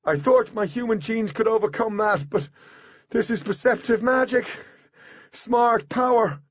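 A man's voice: very high-pitched, a little slow, no echo, highs cut off, voice pushed up. A man voicing a composed, self-assured teenage boy genius in an audiobook, speaking formally and precisely with intensity. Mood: resigned, intense. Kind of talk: audiobook character voice.

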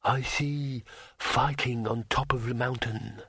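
Gentle fantasy dragon, played by a male narrator: slow, calm, measured, in a very dry recording. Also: medium pitch, slightly rough, no room sound, heavily acted. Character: gentle, calm dragon, speaking slowly to its rider.

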